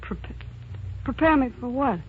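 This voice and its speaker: A woman's voice: sits high, lively intonation, moderate pace, a little hiss or hum. An actress playing a proud, outspoken young working-class woman in a 1940s radio drama, by turns tender and blunt. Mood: indignant, defiant. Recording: muffled 1940s radio recording with music.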